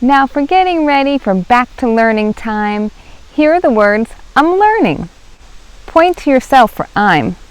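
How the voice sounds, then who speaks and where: A woman's voice: high voice, animated, normal speed, clear, resonant tone, rough recording. A woman in her thirties, a children's librarian, reading and presenting a storytime for young children.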